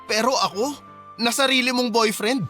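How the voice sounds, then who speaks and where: An actor playing a young boyfriend, defensive and skeptical, in a Filipino radio drama. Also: male voice, extremely high pitch, moderate pace, bright, forceful tone, faint music behind. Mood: hurt, incredulous.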